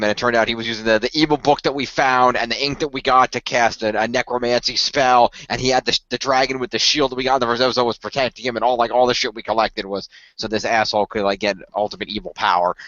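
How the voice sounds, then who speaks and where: A man talks fast and casually, often stopping to restart his sentences. His voice sits in a middle pitch. The sound is dry and dull, like a muffled online call. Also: steady tone, fairly bright tone, dry recording. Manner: rambling, self-correcting, quick talker. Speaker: radio play cast member. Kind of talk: casual group discussion.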